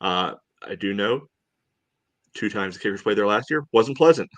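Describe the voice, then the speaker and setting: A man co-hosting a soccer podcast in casual discussion, thinking aloud in a halting way. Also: fairly low pitch, expressive.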